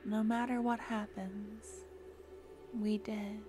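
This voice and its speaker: A young woman voicing a doting, composed necromancer girlfriend in an ASMR fantasy roleplay, speaking softly and intimately, slipping into whispers close to the mic. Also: mid-range voice, level tone, slow pace, over music, lightly acted. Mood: tender. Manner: soft, intimate, drifting in and out of whispers. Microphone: close ASMR microphone.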